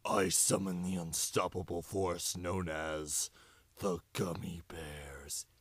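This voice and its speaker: A man acts out a stoic anime henchman. The voice is very low and husky, flat and solemn in its delivery. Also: slow, no room sound, heavily acted.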